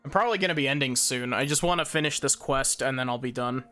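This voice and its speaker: A bright, slightly hoarse male streamer. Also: mid-range voice, fast, soft background music. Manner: relaxed, chatty, thinking aloud. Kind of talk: casual gameplay commentary.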